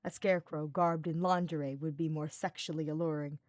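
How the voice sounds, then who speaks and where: A woman reading scripted fiction as an audiobook narrator, in an expressive, deliberate storytelling style. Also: low-pitched, no room sound, clean studio sound.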